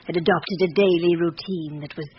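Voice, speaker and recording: An actress playing an elderly, aristocratic French widow in a vintage radio drama, speaking formally and candidly with composure. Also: female voice, lowish voice, fairly even intonation, moderate pace, natural voice. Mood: composed, wistful. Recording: dull, hissy old radio broadcast.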